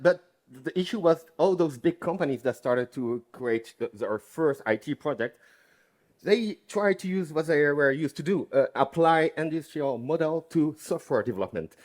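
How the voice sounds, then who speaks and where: A man's voice: on the high side, animated, normal speed, a little mellow. A half Dutch, half French man with a French accent, joking around as a conference speaker. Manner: playful, joking. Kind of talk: conference talk. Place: conference hall.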